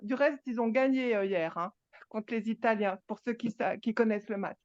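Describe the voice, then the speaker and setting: Halting woman in her sixties. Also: fairly high pitch, a little mellow, no room sound, lo-fi sound. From Switzerland. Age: 60s. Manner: halting, emphatic, many restarts. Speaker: satsang participant. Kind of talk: personal sharing at a spiritual gathering.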